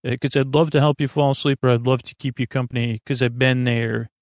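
A man with a creaky voice hosting a bedtime podcast, talking in a soothing, meandering, halting monologue that often interrupts itself. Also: medium pitch, fairly even intonation, darkish tone, dry recording, clean studio sound. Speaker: host of a bedtime sleep podcast.

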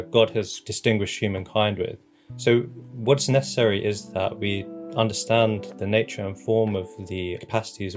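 A man giving an academic explanation as an expert interviewee, with formal, measured wording. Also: lowish voice. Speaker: expert interviewee, philosopher and scientist.

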